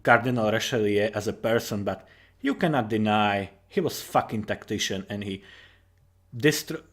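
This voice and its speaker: A tired man giving informal, rambling commentary as a writer on his own story, halting and correcting himself, with casual swearing. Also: on the low side.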